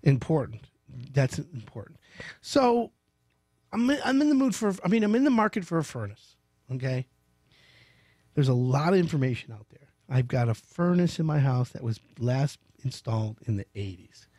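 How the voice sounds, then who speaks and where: Middle-aged male radio host, playful and emphatic, close-miked in a small studio; lively, mid-high voice, dry sound. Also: normal speed, no room sound. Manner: animated, emphatic, playful storytelling. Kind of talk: radio call-in interview. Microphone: large studio mic up close.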